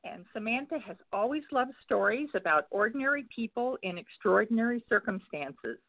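A woman hosting a radio interview in an upbeat way, reading a guest introduction and then asking questions. Her voice comes through a tinny, dry phone line. Her pitch sits in the middle. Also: lively intonation, moderate pace, vocal fry, dry recording. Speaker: guest host. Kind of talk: interview host.

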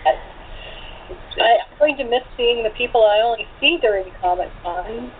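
A woman's voice: on the high side, moderate pace. A woman chatting casually in a group conversation, with a rambling way of talking, over thin call audio. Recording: noisy, phone-like remote call audio.

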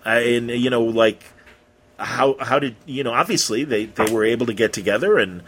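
Husky man asking informal questions. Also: medium pitch, fairly bright tone, poor audio. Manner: informal, halting, rambling questions. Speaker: interviewer. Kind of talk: casual conversational interview.

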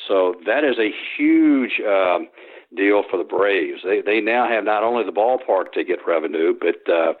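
A man's voice: fairly low pitch, animated, normal speed. A man, a sports historian, answering interview questions by phone, rambling with frequent restarts and fillers. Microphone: telephone. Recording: tinny phone line.

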